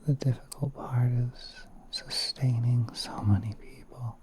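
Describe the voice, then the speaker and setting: A man playing a gentle, courteous spider prince in an ASMR roleplay, speaking in a soft, breathy half-whisper with hesitant, unhurried politeness. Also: medium pitch, fairly even intonation, lightly acted. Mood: calm, explaining. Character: gentle, courteous spider prince soothing a guest in his garden.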